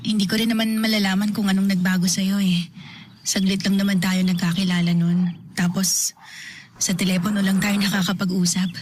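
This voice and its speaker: A woman's voice: mid-range voice, level tone, a little fast, a little hiss or hum, natural voice. A woman playing a guarded woman in her thirties. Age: 30s. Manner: hesitant, apologetic, guarded. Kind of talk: radio drama acting.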